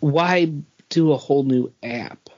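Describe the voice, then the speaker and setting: A man's voice: mid-range voice, slow, darkish tone, highs cut off. A man co-hosting a podcast in a casual, blunt and informal way. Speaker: podcast co-host. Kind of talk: casual pop culture podcast chat.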